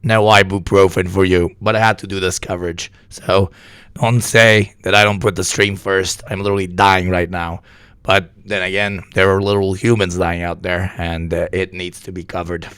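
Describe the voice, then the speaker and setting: A sick, hoarse man streaming live news commentary, talking bluntly and with plenty of swearing. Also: sits low, level tone, moderate pace, a bit bright, no echo, studio quality. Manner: profane, blunt, rambling. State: hoarse from illness.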